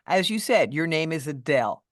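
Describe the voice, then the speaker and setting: A middle-aged woman voice coach with a deeper voice than many women, speaking in a measured, thoughtful way as a guest in a casual interview. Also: lively intonation, no echo, clean studio sound. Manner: measured, thoughtful, with restarts and fillers.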